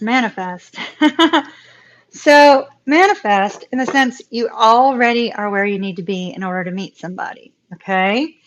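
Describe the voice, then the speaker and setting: Chatty hoarse woman tarot reader. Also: on the high side, fairly bright tone, highs cut off. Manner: chatty, laughs often, repeats words for emphasis. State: voice going hoarse. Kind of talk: live tarot reading stream.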